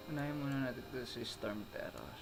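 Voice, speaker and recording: A man's voice: mid-range voice, fast talker, vocal fry. A man with a Filipino accent chatting casually as he streams a game. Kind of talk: casual livestream gameplay chat. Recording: loud game music behind.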